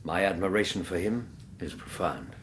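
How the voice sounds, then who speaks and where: An actor playing a loyal, earnest gentleman companion in a radio drama. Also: deep voice, normal speed, slight background noise, natural voice.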